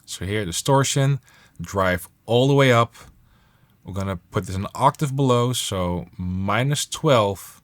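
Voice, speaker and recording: A man's voice: on the low side, unhurried. A man talking casually as an instructor, explaining music production steps while he demonstrates them.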